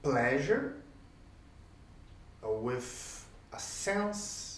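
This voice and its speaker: A man whose first language is Portuguese, musing aloud as host in a reflective solo talk, pausing often and posing questions to the listener. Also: mid-range voice, very animated, slight background noise. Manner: thoughtful, pauses often, rhetorical questions. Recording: roomy, distant from the mic, faint ambience.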